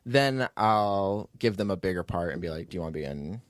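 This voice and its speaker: A man with a fairly low voice hosts a casual podcast. He talks informally and loosely, rambling and cutting into his own sentences as he goes. The sound is very dry, with no room echo. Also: expressive, fairly quick. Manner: rambling, informal, self-interrupting. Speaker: podcast host, writer and producer. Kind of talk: casual unscripted chat.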